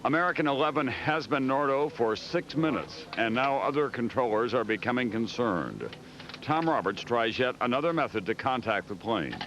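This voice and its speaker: A man reading scripted news documentary narration in a measured, dramatic way. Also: medium pitch, lively intonation, a bit bright. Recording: dull audio with faint background noise.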